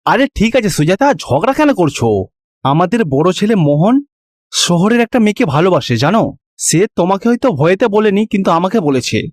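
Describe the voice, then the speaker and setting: A man playing high-voiced shrewd older village husband. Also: sing-song, normal speed, no echo, studio quality. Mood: coaxing. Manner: admonishing, reasoning.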